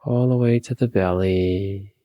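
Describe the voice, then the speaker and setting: A man leading a guided meditation, giving calm, soothing, unhurried instructions with long pauses. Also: on the low side, highs cut off.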